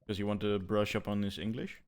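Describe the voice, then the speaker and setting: Low-voiced guy, casual podcast chat, halting, keeps restarting his words. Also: flat delivery, slight background noise. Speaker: podcast co-host.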